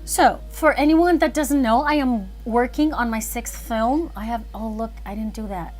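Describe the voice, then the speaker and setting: A woman's voice: fairly high pitch, normal speed, faint music behind. A woman chatting casually and thinking aloud as she hosts an animation livestream. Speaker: animator and filmmaker streaming live.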